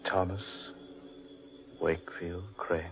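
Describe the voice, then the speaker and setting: An actor playing a grave, fatalistic man lost in a haunted forest, ominous and deliberate, in 1940s radio horror style. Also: deep voice, level tone. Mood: grim alarm. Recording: muffled, very noisy 1940s radio recording.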